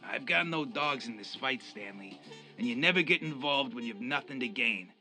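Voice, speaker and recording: Male actor as cynical mob henchman, very bright cutting voice, smug, sardonic, crime radio drama, faint music behind. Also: medium pitch, lively intonation, moderate pace. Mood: coolly unruffled. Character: cynical self-interested gangster henchman quitting his crime boss.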